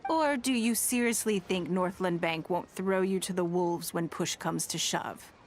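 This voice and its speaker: A woman's voice: fairly low pitch, measured pace, a little hiss or hum, lightly acted. An actress playing a shrewd, poised noblewoman in a video game, composed and authoritative. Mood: cool, threatening. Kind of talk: scripted video game dialogue.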